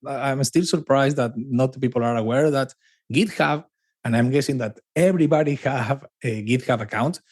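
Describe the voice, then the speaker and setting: A middle-aged man from Argentina with an Argentine Spanish accent, a native Spanish speaker, talking as a guest in a casual interview, animated and repeating words for emphasis. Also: mid-range voice, breathy edge.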